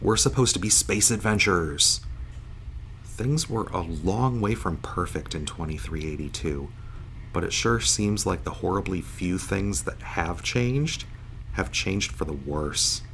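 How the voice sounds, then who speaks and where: A man's voice: sits low, expressive, measured pace, vocal fry, fairly bright tone, slight background noise, exaggerated voice. A man playing a bitter, wry starship officer woken from a long coma, in a science fiction audio drama. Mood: sardonic, bitter. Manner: sarcastic, wry, emotional.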